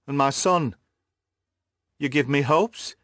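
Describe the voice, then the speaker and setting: A man's voice: fairly high pitch, slow pace, a bit bright, dry recording, clean studio sound, pitched above the performer's own voice. A man voicing a bewildered, distressed banker who pleads earnestly for help, in audiobook dialogue. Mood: anguished, pleading.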